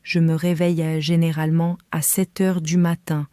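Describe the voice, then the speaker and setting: Female reader for beginner lessons, calm and careful, flat even delivery, dry close sound. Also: low voice, moderate pace, no room sound. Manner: calm, careful, clearly spaced phrases. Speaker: French reader for learners. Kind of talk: slow scripted reading for beginners.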